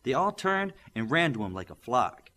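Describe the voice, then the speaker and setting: A man's voice: mid-range voice, sing-song, normal speed, bright, forceful tone. A man reading scripted fiction as an audiobook narrator.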